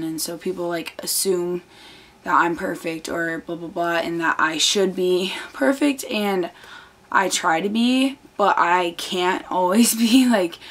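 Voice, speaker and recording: Teen girl vlogger, hoarse tired voice, earnest chatty delivery, bedroom webcam feel. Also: fairly low pitch. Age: teens. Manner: chatty, earnest, many fillers. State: losing her voice. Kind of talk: casual chat to camera. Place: bedroom, indoors. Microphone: camera on desk, close framing.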